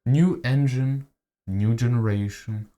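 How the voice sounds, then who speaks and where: A man's voice: medium pitch, slow, warm tone. A man giving casual gaming commentary as a voice-over, conversational and opinionated, often posing rhetorical questions. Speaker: gaming video essayist. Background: background music.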